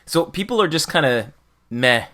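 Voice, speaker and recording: Male podcast host, forceful bright voice, conversational and opinionated, casual discussion. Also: a little high, animated, normal speed.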